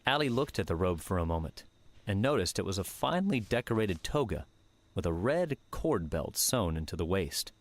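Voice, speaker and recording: A man narrating an audiobook in a measured, steady reading manner. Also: low-pitched, no room sound. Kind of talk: scripted narration.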